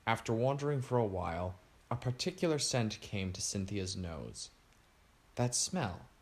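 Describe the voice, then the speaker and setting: A man narrating a storybook aloud in a steady reading voice. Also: lowish voice, normal speed.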